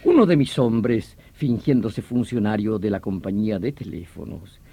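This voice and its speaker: An actor in a radio drama playing a vehement veteran detective, explaining things in an emphatic, theatrical manner. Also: male voice, on the low side, animated, normal speed, creaky, mellow, slightly rough, exaggerated voice. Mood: explanatory. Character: vehement veteran police detective obsessed with hunting a vampire villain.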